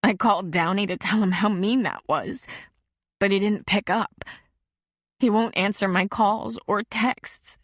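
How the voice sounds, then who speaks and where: A woman's voice: medium pitch, unhurried, dry recording, studio quality, natural voice. A woman voicing an upset, humiliated teenage girl who sounds small and tearful. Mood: distraught.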